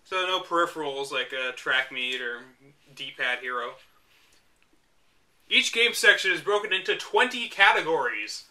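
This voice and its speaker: A man's voice: on the high side, animated, unhurried, pushed and bright. A young man reading an old video game guide aloud and mocking it with dry asides. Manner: mocking, dry asides between read passages. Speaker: host reading a book aloud. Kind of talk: reading aloud with sarcastic commentary.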